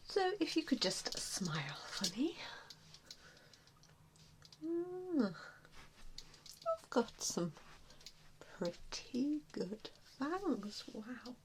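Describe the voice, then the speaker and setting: Slow whispering woman, ASMR roleplay. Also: medium pitch, noisy background. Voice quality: breathy, mostly whispered. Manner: gentle, hesitant, reassuring. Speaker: ASMR roleplayer playing an assessor. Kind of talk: ASMR roleplay, softly whispered personal attention.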